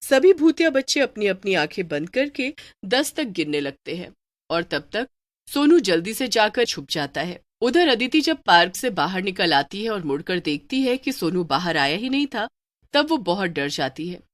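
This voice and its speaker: A woman's voice: medium pitch, sing-song, moderate pace, dry recording, clean studio sound. A woman narrating a scripted cartoon horror story as a storyteller.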